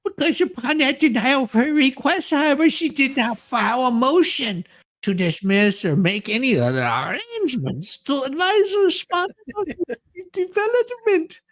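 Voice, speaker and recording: Theatrical, crude host with widely swinging pitch. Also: big pitch swings, moderate pace, a bit bright. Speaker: livestream host. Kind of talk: comedic dramatic reading of a court ruling, with ad-libs.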